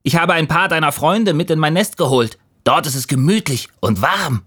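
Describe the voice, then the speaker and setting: A man's voice: on the high side, lively intonation, normal speed, a bit bright, husky, voice pushed up. A man voicing a friendly little squirrel with a delicate voice in a children's story. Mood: inviting.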